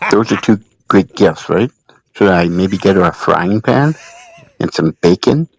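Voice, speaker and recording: A man's voice: low voice, lively intonation, a little slow, gravelly, no echo, rough recording. A man leaving a casual, joking message as a caller to a show.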